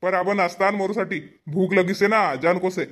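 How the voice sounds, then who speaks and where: A man's voice: high-pitched, steady tone, fairly quick, a bit bright. A man voicing a homely village husband and headman in a cartoon comedy, blunt in manner, with a Powari accent. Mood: impatient, hungry. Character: impatient but agreeable village husband and headman.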